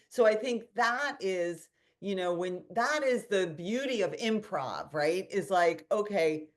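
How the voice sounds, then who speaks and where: A woman hosting a webinar conversation, telling a story animatedly with restarts and quoted asides, over a video call. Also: medium pitch. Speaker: host and company founder.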